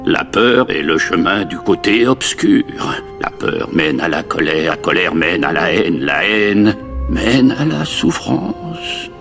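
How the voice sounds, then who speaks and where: A wise old alien master, very low and gravelly. He speaks slowly and solemnly like a film mentor, with music clearly behind him. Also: sing-song, heavily acted. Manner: slow, sententious warnings.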